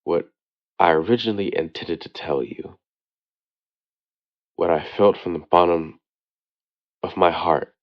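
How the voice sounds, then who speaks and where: A man playing a devoted boyfriend who leaves an intimate late-night voicemail, speaking earnestly and tenderly with hesitant pauses. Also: deep voice, dull audio. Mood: hesitant, musing. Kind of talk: intimate boyfriend audio roleplay, slow monologue. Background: soft new-age music.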